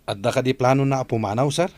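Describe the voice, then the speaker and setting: A man's voice: medium pitch, quick pace, darkish tone, no room sound, close to an everyday voice. A man playing a dutiful, questioning security guard in a conversational audio drama, with faint background noise. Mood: deferential.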